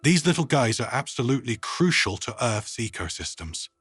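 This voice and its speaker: A man voicing an enthusiastic, earnest woman scientist in a storybook, with a bright, eager, persuasive manner. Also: mid-range voice, very animated, normal speed, breathy edge, no room sound, clean studio sound. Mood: cheerful enthusiasm. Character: enthusiastic, earnest female human scientist proudly presenting worms.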